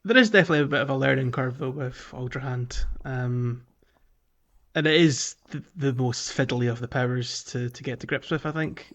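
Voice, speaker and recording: A man with a medium-pitched voice chats as a podcast co-host. His delivery is informal and hesitant, with phrases that stop and start as he works through a thought. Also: moderate pace, a bit bright. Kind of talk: casual video game podcast chat.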